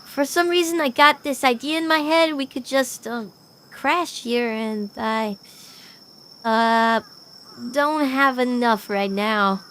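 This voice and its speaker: A woman playing a gentle, apologetic young woman in an audio drama, soft-spoken and hesitant, often trailing off. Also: a little high, slow, a little hiss or hum. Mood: embarrassed, apologetic. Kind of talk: animatic audio drama acting, naturalistic dialogue.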